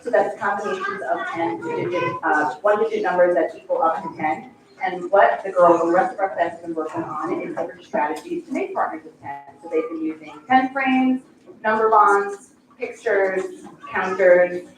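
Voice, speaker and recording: A woman's voice: fairly low pitch, expressive, normal speed. A woman first grade teacher introducing and presenting at a school board meeting in an upbeat, announcing manner. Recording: echoey room, distant mic, background noise.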